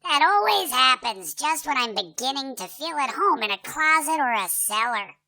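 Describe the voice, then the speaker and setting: A man's voice: extremely high pitch, sing-song, normal speed, sharp tone, voice pushed up, full character voice. A man voicing a small, sulky storybook monster that whines and complains. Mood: whiny, sulky. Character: shrinking little monster, whiny, huffy and sulky. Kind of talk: children's storybook character voice.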